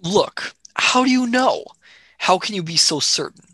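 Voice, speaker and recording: A woman's voice: mid-range voice, lively intonation, measured pace, a bit bright, slightly rough, dry recording. A woman playing a blunt, suspicious young space traveler in a radio play, direct and questioning.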